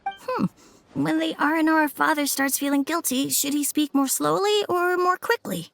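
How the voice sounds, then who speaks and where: A thoughtful little boy in a video game. The voice is on the high side, earnest and reflective, with a faint noise behind it. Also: lively intonation, normal speed, gravelly, a bit bright, heavily acted. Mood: earnest, curious. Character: thoughtful young boy, an aspiring storyteller.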